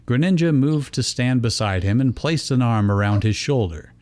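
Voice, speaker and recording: Guy reading out fanfic as the narrator, average pitched voice, scripted story. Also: animated, moderate pace, darkish tone. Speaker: reader and narrator. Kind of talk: scripted fan fiction narration.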